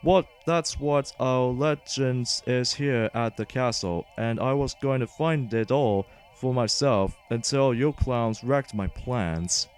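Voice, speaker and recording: A man voicing a blustering, grumpy monster villain from a video game, in a slow, stumbling read. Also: mid-range voice, darkish tone, clear, resonant tone, close to an everyday voice. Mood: indignant. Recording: game music behind.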